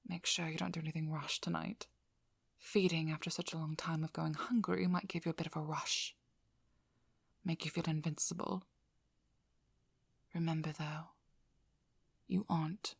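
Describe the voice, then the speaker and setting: Woman acting as a breathy, composed vampire hunter. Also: sits low, little pitch movement, no room sound, heavily acted. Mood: weary, dry. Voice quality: breathy, mostly whispered. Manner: slow, soothing instructions, firm and controlled. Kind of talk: ASMR role-play acting. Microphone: close ASMR microphone.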